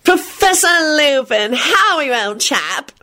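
Wanted: woman as a pompous, arrogant fellow for a storybook, all old-chap formality and huffy swoops of pitch. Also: sing-song, measured pace, sharp tone, exaggerated voice. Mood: snooty greeting. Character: pompous, self-important bespectacled officious man.

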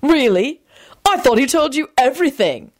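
Woman playing a cocky, hot-tempered young wizard, swooping pitch. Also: big pitch swings, a little fast. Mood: cold mockery. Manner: scornful, mocking, taunting.

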